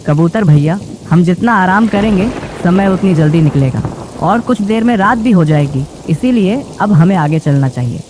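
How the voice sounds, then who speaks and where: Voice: mid-range voice, lively intonation, moderate pace, natural voice. An actor voicing a sensible, polite father sparrow in a bird cartoon. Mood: calm, practical. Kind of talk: Hindi bird cartoon voice acting. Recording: rain noise behind.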